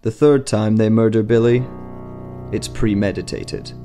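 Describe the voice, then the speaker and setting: A man's voice: lowish voice, steady tone, measured pace, mellow. A man reading a scripted short story as a dramatic narrator. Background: music.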